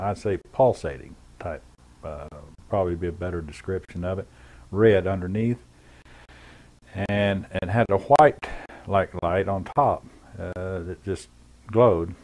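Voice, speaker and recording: Guy with a low voice telling what he saw on the job, matter of fact, kinda halting, no script. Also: a little mellow. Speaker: former air traffic controller giving an eyewitness account. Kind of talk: unscripted first-person recollection.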